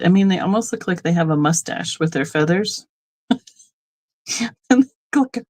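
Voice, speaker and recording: A middle-aged woman from Colorado hosting a live online sale, chatting casually and rambling into asides with frequent ums. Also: medium pitch. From Colorado, United States. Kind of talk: casual live-stream selling chat.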